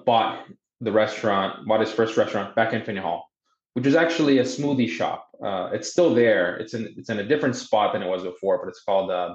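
A man in his thirties from Boston, a native Portuguese speaker, telling his story conversationally in a hesitant, word-repeating way over a video call. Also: fairly low pitch. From Boston, USA, with teenage years in Brazil. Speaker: podcast guest. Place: indoor room on a video call. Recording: muffled, echoey video call.

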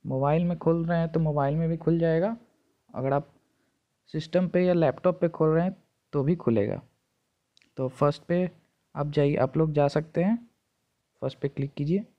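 A man with a slightly high voice gives informal tutorial instructions, repeating steps as he goes. The sound is dull and lo-fi, as from a phone microphone. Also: steady tone, moderate pace, long pauses. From India. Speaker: tutorial presenter. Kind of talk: informal screen-recording tutorial narration.

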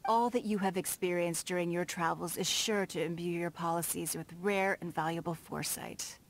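An actress playing a stately, shrewd noblewoman in a video game, formal, measured and self-assured, with prominent S sounds. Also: lowish voice, fairly even intonation, lightly acted. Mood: assured, composed. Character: poised, stately noblewoman and shrewd business magnate. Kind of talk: video game character dialogue. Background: background music.

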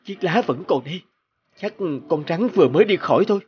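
An actor playing an honest, easily alarmed peasant farmer in a cartoon folk tale, earnest and expressive. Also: male voice, sits high, fairly quick, dull audio. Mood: amazed, relieved. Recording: music under the voice.

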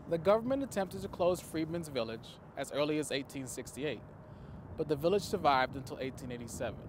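A man in his thirties gives a scripted history talk outdoors, speaking into a lapel mic in a measured, formal way. His voice sits a little high, and steady traffic hum is clearly heard behind him. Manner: measured, formal delivery to camera. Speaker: cemetery staff presenter. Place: outdoors in a cemetery.

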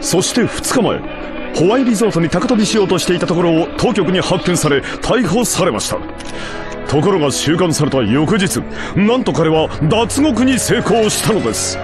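A man's voice: high-pitched, lively intonation, moderate pace, music in the background. An actor playing a flashy, dramatic male TV announcer in a video game, showy and emphatic.

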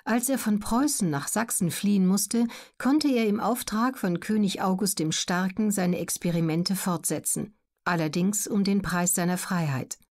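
A woman reading scripted documentary narration for an audiobook in a measured, clearly enunciated way. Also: medium pitch, a little mellow.

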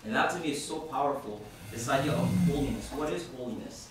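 A man from Haiti, a youth minister, praying and then telling a story to a group in a halting, informal way with many fillers. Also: medium pitch, animated. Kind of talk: opening prayer and talk to a group. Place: hall at a university centre. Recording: echoey room from far off, background noise.

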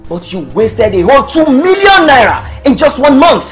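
A man's voice: extremely high pitch, big pitch swings, normal speed, soft background music. A man with a Nigerian accent playing an angry, domineering rich man who scolds and threatens in a drama. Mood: scornful. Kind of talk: Nollywood drama acting.

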